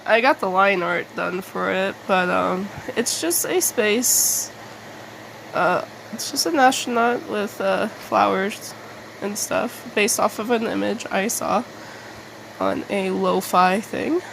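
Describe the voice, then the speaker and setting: A woman talks through a casual, rambling vlog at an unhurried pace. Her mid-pitched voice often drops into creaky fry, and she speaks haltingly, putting herself down with some mild swearing. A faint hum of room noise sits behind her. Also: fairly bright tone, rough recording. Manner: halting, self-deprecating, mildly sweary. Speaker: artist making videos.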